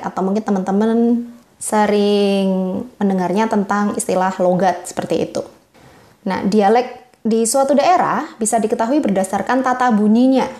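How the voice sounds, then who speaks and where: A woman tutor working through exam questions, reading the answer options slowly and explaining them patiently to her viewers. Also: fairly high pitch, darkish tone, echoey room.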